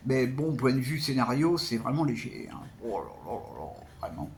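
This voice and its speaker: A man's voice: mid-range voice, animated, normal speed, slight background noise. A man giving a casual spoken film review as a vlogger, hesitant and often repeating words.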